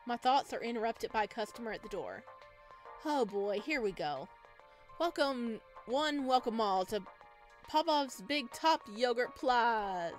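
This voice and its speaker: High-pitched, expressive woman gamer. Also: unhurried. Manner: expressive, playful, dramatic. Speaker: streamer playing a visual novel. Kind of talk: let's play, reading game narration aloud with reactions. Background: game music.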